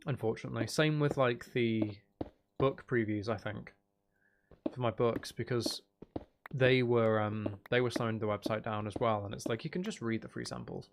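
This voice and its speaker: A man's voice: medium pitch, fairly even intonation, moderate pace, vocal fry, slight background noise. A man streaming a game, chatting casually in a rambling, hesitant way as he thinks aloud. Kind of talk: casual chatty gaming livestream commentary.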